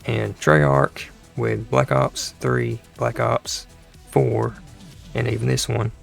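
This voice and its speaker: Man, gaming host, very gravelly fry, hoarse dry throat, rambling, exasperated, medium pitch. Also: little pitch movement, takes it slowly, faint music behind. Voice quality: gravelly, creaky voice. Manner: rambling, exasperated venting with restarts. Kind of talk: casual commentary over gameplay.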